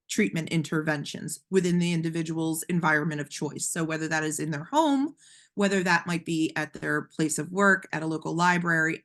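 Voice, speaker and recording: A woman gives a training webinar over a call, explaining things patiently in a somewhat low voice. She is a bit hoarse from losing her voice. Her talk is loose and conversational, full of ums and restarted phrases. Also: fairly bright tone. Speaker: webinar presenter.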